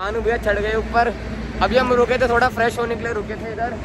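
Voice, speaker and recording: A man's voice: very high-pitched, little pitch movement, a little fast, crowd noise, noisy background. A teenage boy from Delhi chatting to his viewers as he films a road trip on a handheld phone inside a moving car, joking and laughing. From Delhi, India. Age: teens. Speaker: vlogger. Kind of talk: casual travel vlog chat. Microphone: handheld phone camera.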